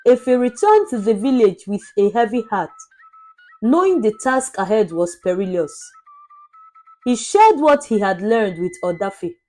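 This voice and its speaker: A woman narrating a scripted folktale in a dramatic, deliberate storytelling manner. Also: medium pitch, very animated, darkish tone. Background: background music.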